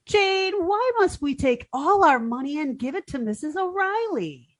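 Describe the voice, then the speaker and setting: A woman's voice: high-pitched, expressive, moderate pace, dry recording. A woman playing a naive, dim-witted but earnest young woman in an old-time radio comedy, guileless and sing-song. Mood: puzzled, earnest.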